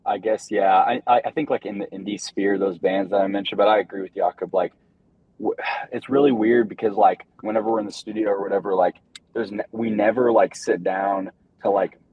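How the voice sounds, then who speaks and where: A man's voice: on the low side, brisk, husky, dry recording. A young man, a band member, chatting casually in an interview, rambling and laid-back with lots of fillers. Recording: dull lo-fi recording.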